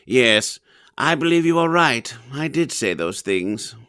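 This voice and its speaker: A man's voice: mid-range voice, very animated, unhurried, sharp tone, smooth, no room sound, studio quality. A man playing an eerily courteous, fanatical cult leader in a theatrical audio drama, on a noisy recording. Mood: coy, courteous.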